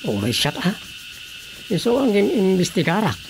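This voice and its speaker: An actor playing an officious, assertive village councilor in an Ilocano radio drama comedy. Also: male voice, high-pitched, wildly swooping pitch, unhurried, slight background noise, full character voice, poor audio. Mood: determined. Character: officious male village councilor, determined and meddling.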